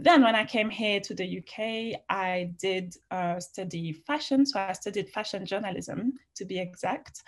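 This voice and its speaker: Chatty woman with a French accent. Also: medium pitch. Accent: French, with some British. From France. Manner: chatty, frank, with frequent fillers and restarts. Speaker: interview guest, fashion writer. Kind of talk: casual interview answers.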